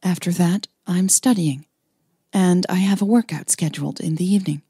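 A woman's voice: lowish voice, normal speed, dark tone, breathy edge, no room sound, clean studio sound. A woman narrator playing a reserved, studious young opera singer who answers tersely in an audio romance. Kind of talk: audio romance story dialogue.

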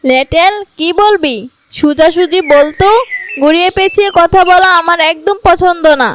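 A woman's voice: very high voice, normal speed, a little hiss or hum. A woman voicing a wicked, imperious, murderous queen in a cartoon fairy tale. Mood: stern.

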